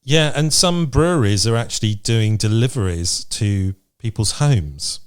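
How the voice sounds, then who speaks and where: Low-voiced man chatting, upbeat. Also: lively intonation, unhurried, clear, resonant tone. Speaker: podcast co-host. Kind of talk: casual chat.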